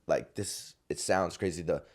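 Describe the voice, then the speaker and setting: A young man in his twenties with a very deep, low voice talks on a podcast. He tells a personal story in a rambling, casual way, circling back and restarting his sentences. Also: moderate pace. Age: 20s. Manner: rambling, many restarts and fillers. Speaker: podcast guest. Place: podcast studio.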